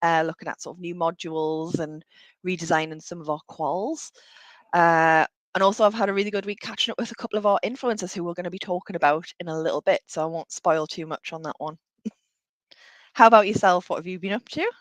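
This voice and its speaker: A woman co-hosts a live online show in a friendly, brisk way. Her voice sits fairly low for a woman. The sound is dry and close, with no room echo. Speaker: co-host. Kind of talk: live webcast chat.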